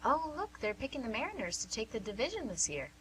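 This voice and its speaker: A woman's voice: fairly high pitch, sing-song, quick pace, a bit bright. A woman playing a cheerful, probing, slightly fumbling nurse in a radio drama, speaking in a coaxing, conversational way. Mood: upbeat, probing. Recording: noisy amateur recording with faint hum.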